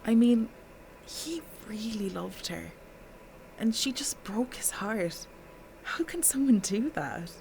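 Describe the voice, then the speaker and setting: A woman's voice: fairly high pitch, lively intonation, slow, vocal fry, background noise, exaggerated voice. An actress playing a bitter, exasperated female witness in an audio drama, blunt and indignant. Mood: hurt. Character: bitter, exasperated woman giving a witness statement.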